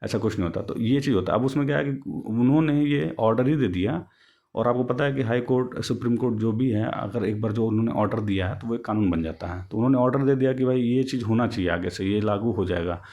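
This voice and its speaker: A man's voice: medium pitch, fast, a little mellow, muffled recording. A man giving an informal explanatory commentary, rephrasing and repeating himself as he goes. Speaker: YouTube host explaining a court case update.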